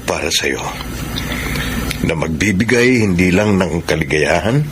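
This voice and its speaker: An actor playing a devoted, sincere Filipino boyfriend in a radio drama, with an emphatic, heartfelt delivery. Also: male voice, sits low, expressive, a little slow, background noise. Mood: tender, solemn. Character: Sandro, devoted earnest boyfriend, romantic and sincere.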